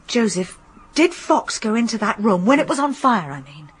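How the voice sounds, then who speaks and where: Very breathy, suspicious wife. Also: female voice, on the high side, big pitch swings, moderate pace. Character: suspicious, quietly perceptive hotel manager's wife. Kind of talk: radio drama dialogue.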